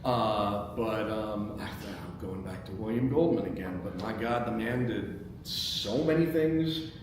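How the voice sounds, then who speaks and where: An American man from Chicago hosting a casual panel discussion, speaking haltingly and repeating words as he goes. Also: lowish voice. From Chicago, United States. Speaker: host, screenwriter. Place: echoey indoor room at a table read event. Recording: echoey room, distant mic, clear background noise.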